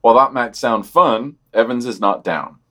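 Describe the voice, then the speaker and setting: A man reads news summaries for a podcast at a middle pitch. He comments on each item in a dry, offhand way, sometimes trailing off into a quiet aside. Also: normal speed.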